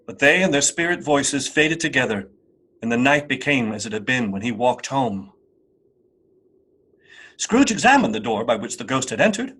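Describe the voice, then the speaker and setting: A man narrating a radio play as a scripted, dramatic storyteller, with a slightly husky voice. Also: mid-range voice, sing-song, moderate pace, pauses often, fairly bright tone.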